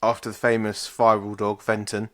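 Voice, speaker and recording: A man's voice: on the low side, monotone, moderate pace. A young man co-hosting a podcast, chatting in a laid-back, dry way and swearing casually. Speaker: podcast co-host. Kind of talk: casual banter.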